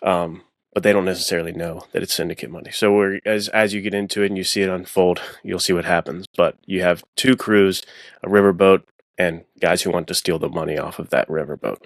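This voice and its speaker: A man's voice: low-pitched, steady tone, a little fast, no echo, studio quality. A man talking as a guest author in a casual, slangy podcast chat. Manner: informal, slangy.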